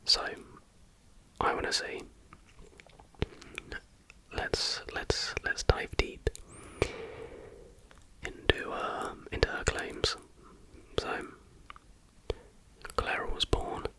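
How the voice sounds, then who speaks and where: A man reading aloud in a soft, breathy, unvoiced whisper as an informal ASMR host. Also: extremely slow, long pauses.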